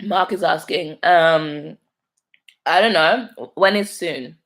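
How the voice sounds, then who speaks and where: Young london woman rambling on her livestream, low voice, kinda gravelly, laughs a lot. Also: slow, fairly bright tone. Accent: London English. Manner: chatty, laughs often, self-deprecating. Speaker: YouTuber hosting a live stream. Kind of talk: casual live-stream chat reading comments.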